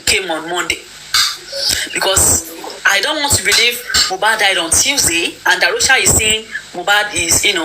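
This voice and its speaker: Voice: mid-range voice, background noise. A speaker with a Nigerian accent laying out a theory hesitantly in a casual online discussion. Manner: speculative, hesitant. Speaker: discussion participant.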